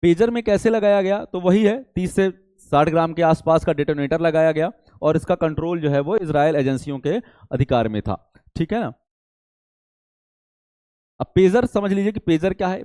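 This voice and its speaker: A man's voice: on the high side, normal speed, warm tone, dry recording. A man teaching a current affairs lesson in a didactic way, often checking in and posing rhetorical questions. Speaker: teacher for competitive exam students.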